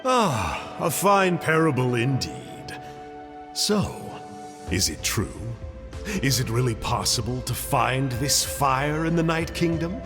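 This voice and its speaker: A man's voice: mid-range voice, very animated, takes it slowly, in a large hall, music in the background. A man voicing a quirky, genteel man in a game, speaking in a folksy, theatrical and polite way. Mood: curious. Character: quirky genteel man called Uncle Glasses. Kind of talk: game dialogue voice acting.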